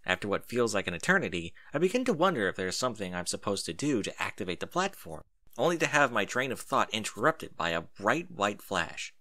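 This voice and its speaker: A man narrating a first-person story in an expressive reading style. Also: lowish voice.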